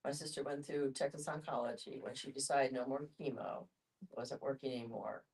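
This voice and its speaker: Woman, deep register, conversational questioner in a group discussion, distantly miked. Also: fairly even intonation, brisk, a little mellow. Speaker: audience member asking questions. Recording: distant from the microphone.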